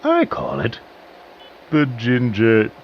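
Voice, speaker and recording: A man's voice: mid-range voice, huge pitch swings, slow, highs cut off, slight background noise, exaggerated voice. A man playing a teasing, gleeful teller of nasty tales in a playful, theatrical radio drama style. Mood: gleeful, mischievous. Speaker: storyteller in a framing dialogue. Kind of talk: conversational audio drama acting.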